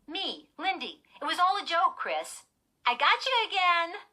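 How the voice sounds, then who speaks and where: A woman's voice: sits high, very animated, takes it slowly, sharp tone, pitched above the performer's own voice, heavily acted. A woman voicing a mischievous young girl in a storybook, a teasing twin with a casual, offhand manner. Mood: gleeful, teasing.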